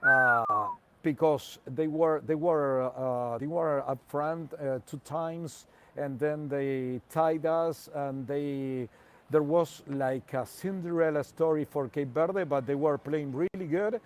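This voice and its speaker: Argentine man in careful, halting English. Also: on the high side, sing-song. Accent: Argentine Spanish. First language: Spanish. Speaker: guest soccer commentator. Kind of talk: casual interview by remote call.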